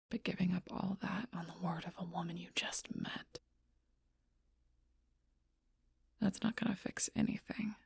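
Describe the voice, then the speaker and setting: A woman playing a gruff, stubborn, protective female alpha werewolf in an intense audio roleplay, blunt and often hushed, with a husky voice. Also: on the low side, no echo, clean studio sound. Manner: intense, blunt, swearing, often dropping to whispers.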